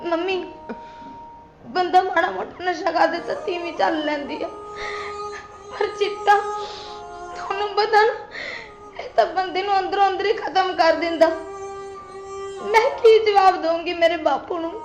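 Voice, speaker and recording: A very high, thin voice carries the hurt of a young wife who feels betrayed, breaking into tears as she pleads. A young woman acts her out. The room rings with echo, and soft music sits behind her. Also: moderate pace, pauses often. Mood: tearful. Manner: emotional, tearful pleading. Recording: roomy echo, faint music.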